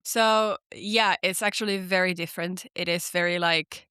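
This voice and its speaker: A woman's voice: fairly low pitch, normal speed, clear, resonant tone, no echo. Young woman, from Finland, podcast guest, chatty, filler-heavy, dry close recording. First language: Finnish. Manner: chatty, many "like" fillers, lively storytelling. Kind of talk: casual interview chat.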